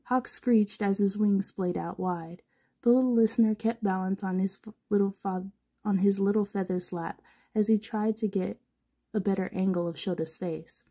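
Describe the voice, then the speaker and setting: A woman's voice: mid-range voice, steady tone, normal speed, warm tone. A woman narrating fan fiction informally as a podfic reader, now and then stumbling over words.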